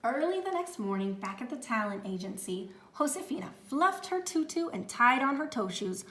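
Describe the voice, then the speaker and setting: A woman with a fairly high voice narrates a children's story. Her delivery is theatrical and expressive, lingering on words for effect. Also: slight background noise. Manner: theatrical, deliberate phrasing with drawn-out words. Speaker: storybook reader.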